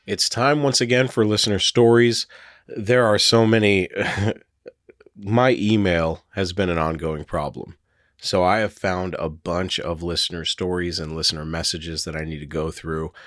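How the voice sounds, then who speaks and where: Hoarse low-voiced man hosting a podcast. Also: steady tone, a little slow, fairly bright tone, no room sound, clean studio sound. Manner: rambling, full of fillers and hedges. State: hoarse, getting over a cold. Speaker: podcast host. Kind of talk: casual solo podcast talk.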